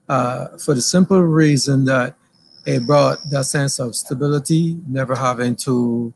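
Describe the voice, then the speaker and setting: A middle-aged man from Barbados with a Barbadian accent, interviewed as a guest on an outdoor deck, speaking slowly with many hesitations and fillers. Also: medium pitch, gravelly, a little hiss or hum, poor audio. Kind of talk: relaxed interview storytelling. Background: passing plane and outdoor ambience.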